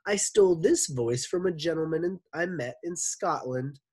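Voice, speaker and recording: A man voicing a smooth-talking male teacher with a pleasant, over-polite manner. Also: on the high side, moderate pace, darkish tone, pitched above the performer's own voice. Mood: sly.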